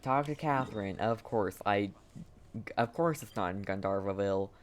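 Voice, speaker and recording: A woman's voice: extremely low pitch, moderate pace. A woman giving casual live game commentary as a streamer, laid-back and often reacting in brief murmurs.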